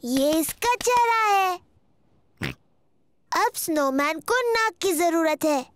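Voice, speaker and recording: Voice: extremely high pitch, lively intonation, slow pace, a bit bright, no room sound, studio quality, exaggerated voice. A performer voicing a cheerful, bossy little girl pig in a children's cartoon, with eager, simple childlike phrasing. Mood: eager, explaining. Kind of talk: children's cartoon dialogue, Hindi dub. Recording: music behind the voice.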